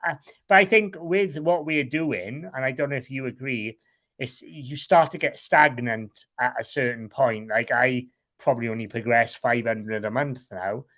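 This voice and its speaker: Welsh man, plain mid pitch, candid guest in a loose chat, swears freely. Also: normal speed, fairly bright tone. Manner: frank and rambling, swears casually. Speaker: guest, online seller.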